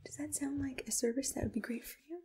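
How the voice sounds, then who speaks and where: A woman whispering softly and attentively in a soothing, reassuring ASMR roleplay. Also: high voice, fast. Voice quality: breathy whisper.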